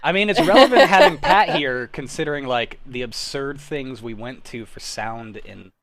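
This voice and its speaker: A man's voice: medium pitch, animated, normal speed, vocal fry, a loud crowd. An American man in his thirties chatting casually and enthusiastically as a co-host, with some self-deprecating swearing. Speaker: podcast co-host and sound designer. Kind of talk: casual livestream chat.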